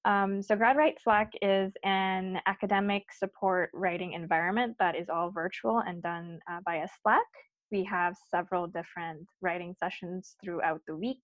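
A woman's voice: lowish voice, steady tone, normal speed, dry recording. A young woman from Guyana, a guest on a podcast, talking earnestly with many fillers. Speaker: guest, recent PhD graduate. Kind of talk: casual podcast interview. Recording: dull, dry lo-fi sound.

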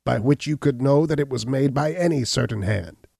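A snarling, cornered hunchback murderer, as a man reads him aloud, pushing his gravelly voice up high and loud in bluster and rage. The sound is dry and close. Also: normal speed, no room sound. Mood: defiant. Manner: blustering, shouting, raised and strained. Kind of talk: audiobook reading.

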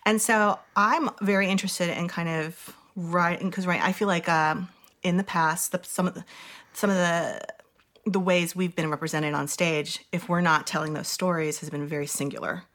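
A woman with a low voice speaks in a relaxed panel conversation. She talks about her writing thoughtfully, often pausing and reaching for the right word. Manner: thoughtful, searching for words with many fillers. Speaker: playwright.

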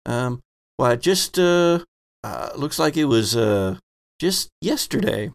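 A man's voice: medium pitch, sing-song, slow pace, creaky, full character voice. A man playing a flustered, hesitant female clinic desk clerk who stammers as she guards privacy in a tabletop game.

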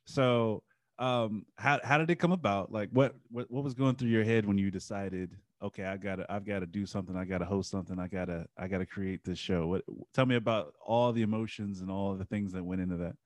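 Male host, low-ish pitch, steady friendly questions, dry mic. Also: no echo. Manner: measured, friendly, hesitant with fillers. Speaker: interview host. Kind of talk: interview questions.

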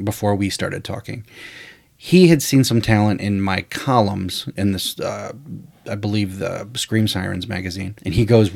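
A man's voice: fairly low pitch, gravelly. A man co-hosting a podcast, telling stories from his screenwriting career in a rambling, casual way. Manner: rambling, animated storyteller with frequent "you know" and "uh". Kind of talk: casual storytelling chat.

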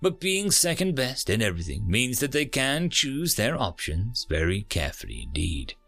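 A man voicing a calm, wry male furry alien who explains things in a measured, dry way. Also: mid-range voice, vocal fry, soft background music, exaggerated voice.

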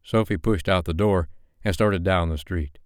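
A man narrating an audiobook in a steady, measured reading style. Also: sits low, clear, resonant tone, no room sound, clean studio sound.

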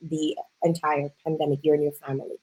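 A woman's voice: sits low, level tone, brisk, darkish tone, husky, rough recording. A woman hosting a casual interview, talking in an effusive, chatty manner. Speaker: show host.

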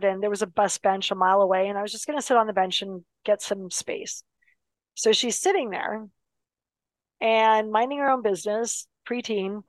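A woman telling a long personal story in a casual, animated way, full of fillers and restarts, dropping her voice for serious parts. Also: mid-range voice, dry recording, low-quality recording.

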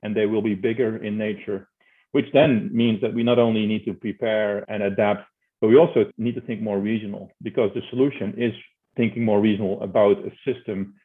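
Dutch accent guy, kinda low voice, sounds like a phone call, explaining stuff in an interview, repeats words a bit. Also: steady tone, fairly quick. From Netherlands. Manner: explanatory, repeats words as he builds his points. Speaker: interview guest, energy consulting executive. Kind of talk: conversational interview answers. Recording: narrow tinny phone-like line.

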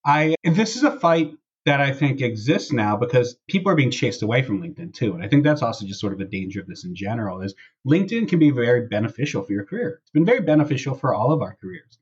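A man's voice: medium pitch, sing-song, fast, hardly any pauses, breathy edge, dull audio. A man hosting a casual podcast discussion, talking through and reading out posts. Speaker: podcast host.

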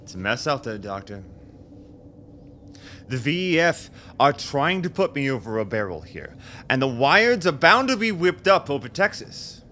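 A man's voice: medium pitch, sing-song, moderate pace, sharp tone. An anxious, tense-voiced young-republic chairman. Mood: worried, agitated. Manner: hesitant, agitated. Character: anxious, self-doubting new political chairman, a war hero. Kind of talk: radio drama acting. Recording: noticeable background noise.

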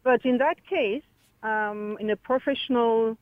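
A woman calling a radio phone-in, giving her opinion in a measured, conversational way over the phone. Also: on the high side, no echo. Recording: band-limited tinny phone line.

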